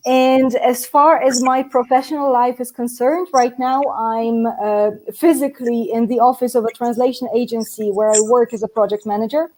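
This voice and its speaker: A woman's voice: fairly high pitch, steady tone, moderate pace, hardly any pauses, a little mellow, rough recording. A young adult woman from Poland, a native Polish speaker, chatting as a guest on a live stream with frequent laughs and fillers, speaking over a video call from an office. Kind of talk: casual live-stream chat. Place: translation agency office.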